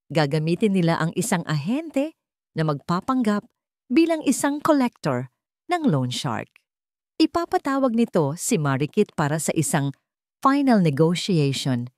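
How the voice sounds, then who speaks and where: A woman with a Filipino accent reading a scripted dramatic story in a measured, suspenseful way. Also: lowish voice, a little mellow, dry recording, clean studio sound. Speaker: story narrator.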